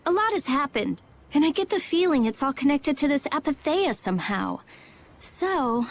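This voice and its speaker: A woman's voice: high-pitched, big pitch swings, normal speed. A woman playing a blunt, impatient teenage girl mage in a video game, with a grumbling manner. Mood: matter-of-fact.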